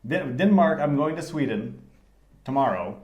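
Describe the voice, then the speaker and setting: Male podcast host, American accent, middle-pitched, relaxed conversational interviewing style. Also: normal speed, reverberant, lo-fi sound. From United States.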